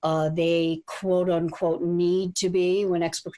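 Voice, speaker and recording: A woman relationship coach answering interview questions in a measured, hesitant way with many fillers. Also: fairly low pitch, little pitch movement, dark tone.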